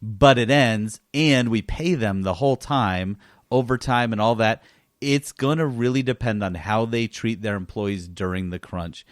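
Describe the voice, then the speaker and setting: A man's voice: fairly low pitch, measured pace, no echo, clean studio sound. A man co-hosting a podcast, chatting casually about gaming news and thinking aloud in an informal, emphatic way. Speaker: podcast co-host.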